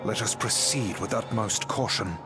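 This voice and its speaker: A man's voice: fairly low pitch, moderate pace, close to an everyday voice. Guy doing a stuffy old-fashioned magic book, game dialogue with music under it. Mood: grave. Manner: formal, archaic, pompous diction. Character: pompous, learned magical talking book, male companion. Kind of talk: video game voice acting.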